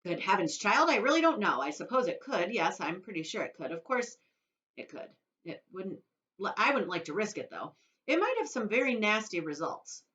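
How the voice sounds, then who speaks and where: A woman voicing an eccentric, excitable male chocolatier with a theatrical, exclamatory manner. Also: mid-range voice, moderate pace, muffled recording.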